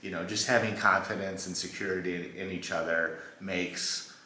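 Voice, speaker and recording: A man's voice: low voice, fairly even intonation, normal speed, pushed and bright, roomy sound, a little hiss or hum. A young man chatting casually with his partner about their relationship, laid-back, with many fillers like "you know" and "like". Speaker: co-host of a couple's channel. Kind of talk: casual couple chat, storytime.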